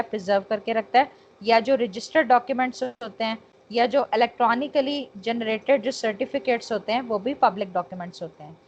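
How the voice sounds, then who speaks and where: A woman with a Pakistani accent teaching law in an online lecture, explaining with English terms mixed in and checking that students follow. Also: a little high. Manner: checks understanding, frequent tag phrases. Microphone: online call. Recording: dull, noisy online call audio.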